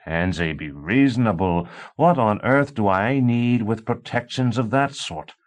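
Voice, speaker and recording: A man's voice: lowish voice, animated, unhurried, voice pushed up. A man reading an audiobook, voicing an exasperated professor husband who speaks firmly and impatiently. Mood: stern. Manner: firm, impatient.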